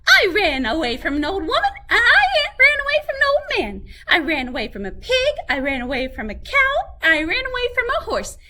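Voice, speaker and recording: A woman voicing a boastful little gingerbread man who taunts in a high sing-song chant. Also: huge pitch swings, moderate pace, few pauses, sharp tone, full character voice. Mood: cheeky.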